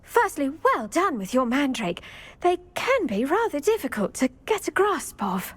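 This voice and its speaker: High, effusive young witch teacher. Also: very animated, moderate pace. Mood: warm, encouraging. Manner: effusive, flowery. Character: cheerful, nurturing young woman herbology professor at wizard school. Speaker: herbology teacher. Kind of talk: video game cutscene acting.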